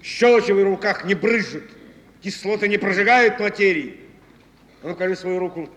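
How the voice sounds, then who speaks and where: An actor playing a brisk, bossy chemist who lectures a pupil didactically, drilling him with short questions in a stage drama. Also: male voice, high-pitched, a bit bright. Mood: stern lecturing. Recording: reverberant noisy archive stage recording.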